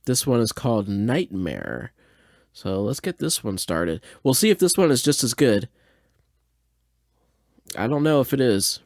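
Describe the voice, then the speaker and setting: A man's voice: medium pitch, very animated, unhurried, pauses often, darkish tone, dry recording, clean studio sound. A man speaking casually and off the cuff as a podcast host, commenting as he listens along. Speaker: podcast host reacting to old radio plays.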